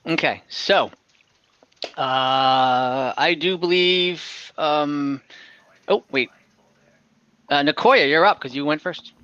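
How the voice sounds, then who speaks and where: A man's voice: a little high, slow, long pauses, pushed and bright, clean tone, muffled recording. A man running an online tabletop game as game master, talking in a casual, informal way.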